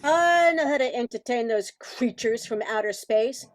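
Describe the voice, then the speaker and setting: Woman playing a haughty, high-voiced diva reindeer. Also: lively intonation, normal speed, natural voice. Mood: pompous, dismissive. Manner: sharp, pompous, exasperated. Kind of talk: amateur Christmas radio play.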